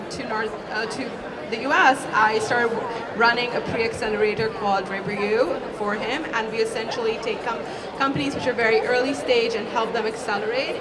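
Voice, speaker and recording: A woman from Pakistan presents herself and her work on a panel. Her fairly high voice is picked up at a distance, in very loud crowd chatter. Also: very noisy. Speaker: venture partner, panelist. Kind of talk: self-introduction at a panel.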